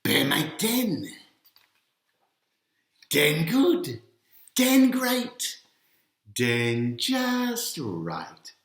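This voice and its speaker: A man voicing an eager, simple-minded bear from a picture book, speaking in short, blunt, drawn-out exclamations. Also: on the high side, wildly swooping pitch, fairly bright tone, voice pushed up, full character voice. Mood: proud. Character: eager, simple-spoken male bear proudly building his home. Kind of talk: picture-book character read aloud. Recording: roomy, echoing sound.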